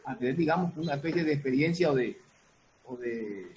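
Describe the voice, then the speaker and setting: A woman's voice: extremely low pitch, expressive, a little fast, darkish tone. A woman talks in a casual panel. She repeats herself for emphasis and checks that others follow, with some room noise behind her. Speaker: dance teacher and theater artist. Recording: noisy room sound with ambience.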